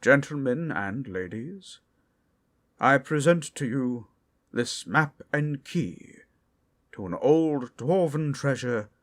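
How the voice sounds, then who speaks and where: A man voicing a mysterious male human wizard who speaks theatrically, dropping to hushed tones. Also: mid-range voice, animated. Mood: grand. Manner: theatrical, slow and hushed at times.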